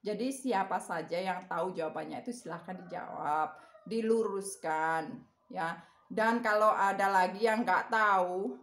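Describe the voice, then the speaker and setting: A woman's voice: lowish voice, roomy sound. A woman with a Javanese accent, chatting casually to her viewers in a rambling way and laughing often. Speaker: vlogger.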